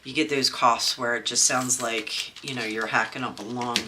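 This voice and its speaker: A woman's voice: very deep voice, fairly even intonation, normal speed, background noise. A woman from Maryland with a stuffy, congested nose, chatting casually at home while she crafts, rambling with lots of ums and restarts. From Maryland, USA. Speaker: crafting channel host.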